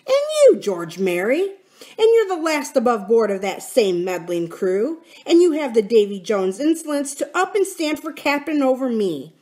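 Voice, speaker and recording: A woman plays a cunning old-salt pirate captain from a storybook. The talk is folksy and sardonic, full of scorn, and the high-set voice swings widely up and down. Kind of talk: dramatic storybook character reading.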